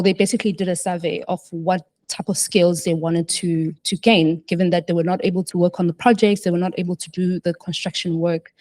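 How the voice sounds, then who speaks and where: A woman's voice: lowish voice, darkish tone. A woman answering as a panelist, hesitant, with many fillers and restarts.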